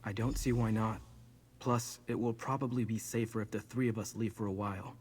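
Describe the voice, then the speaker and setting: A man voicing an earnest, protective young man with a husky voice in a video game. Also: fairly low pitch, steady tone, brisk, a little mellow. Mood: calm, reassuring. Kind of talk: video game character dialogue.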